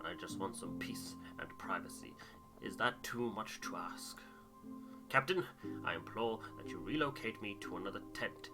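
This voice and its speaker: A man voicing a fussy, exasperated gentleman from a polar expedition game, speaking formally and emphatically. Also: lowish voice, expressive, moderate pace, over music, lightly acted. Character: fed-up, fussy expedition gentleman demanding privacy.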